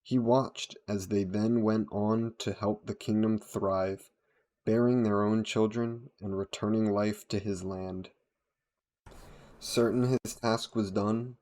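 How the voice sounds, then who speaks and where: A male narrator tells a dark fantasy legend in a low voice. He takes it very slowly, with a solemn, dramatic and measured delivery. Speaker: storyteller, narrator.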